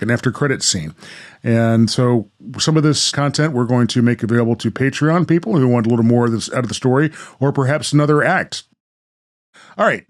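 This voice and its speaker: A man hosting a show, narrating a radio play and talking casually. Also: mid-range voice. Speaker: host and narrator.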